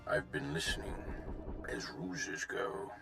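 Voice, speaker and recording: An actor playing a cool, skeptical male Imperial fleet commander in a video game cutscene, with a gravelly, measured delivery. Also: sits low, echoey room, music in the background, full character voice. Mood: guarded.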